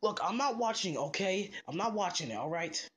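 A performer voicing a gruff, self-serious male hedgehog, sullen and curt, in a homemade character skit. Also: mid-range voice, normal speed. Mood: brooding. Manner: deadpan, curt, sullen, sometimes hushed. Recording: noticeable background noise.

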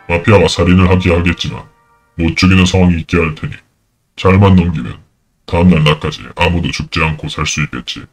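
An actor playing a cold, commanding unseen game master who announces cruel missions in an audio drama. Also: male voice, low voice, natural voice.

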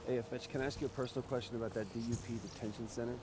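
A man's voice: mid-range voice, steady tone, very fast, noisy background, lightly acted. A man playing a cocky, sarcastic young superpowered vigilante in a video game, teasing as he talks. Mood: wry.

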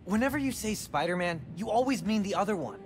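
A loyal teenage best friend in a video game. His voice is high for a man and sounds earnest and natural. Music plays clearly behind him. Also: expressive, fast. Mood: encouraging.

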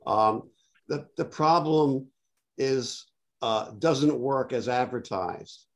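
An older man speaking bluntly and dryly, with many filled pauses, on a video call from a home study. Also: medium pitch. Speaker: panelist. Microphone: laptop webcam on a video call.

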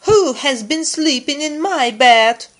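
An angry mama bear from a storybook, played by a woman reader. She roars with loud indignation, her voice lifted a little and slowly emphatic. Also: sits high, expressive, pitched above the performer's own voice. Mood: angry, indignant. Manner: roaring out questions. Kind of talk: children's storybook character.

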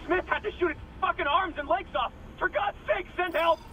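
A man's voice: very high-pitched, brisk, recorded from a distance, lo-fi sound, a little hiss or hum. An actor playing a panicked crewman calling for help over the radio in a video game. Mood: frantic, desperate. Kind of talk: dramatic video game acting.

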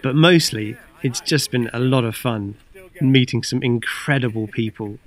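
A man's voice: medium pitch, moderate pace. A man in his forties making an upbeat, earnest crowdfunding appeal for his long cycling trip. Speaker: long-distance cyclist raising funds. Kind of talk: crowdfunding appeal, mix of voice-over and to-camera talk. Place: outdoors in a city park.

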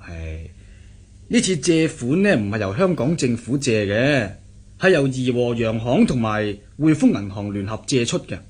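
An actor playing a self-assured, chatty government interpreter in a radio drama, explaining things in a confiding manner. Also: male voice, mid-range voice, very animated, moderate pace, darkish tone, slightly rough. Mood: confiding, pleased. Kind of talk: Cantonese radio drama acting.

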